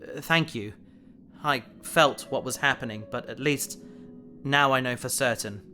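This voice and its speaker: A man's voice: mid-range voice, measured pace, bright, forceful tone, soft background music. A man playing an earnest, stoic space hero who narrates his own science fiction audio drama. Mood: subdued, resigned. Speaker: protagonist and narrator.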